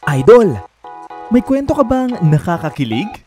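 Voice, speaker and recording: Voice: medium pitch, very animated, measured pace, warm tone, faint music behind. An announcer with a Filipino accent reading an upbeat scripted radio promo.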